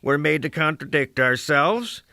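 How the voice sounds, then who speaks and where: A man's voice: a little high, expressive, measured pace, gravelly, bright, forceful tone, no room sound, clean studio sound, heavily acted. A man voicing an aging, cantankerous, proud American poet in a rambling dramatic soliloquy, with a crusty, musing, oratorical delivery and salty asides. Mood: musing, declamatory. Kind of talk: dramatic first-person literary soliloquy.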